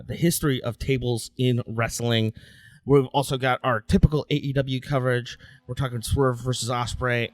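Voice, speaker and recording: Male podcast host, thirties, conversational with scripted storytelling stretches, mid-pitched voice, close dry recording. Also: no echo. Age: 30s. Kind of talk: conversational podcast hosting with scripted history narration.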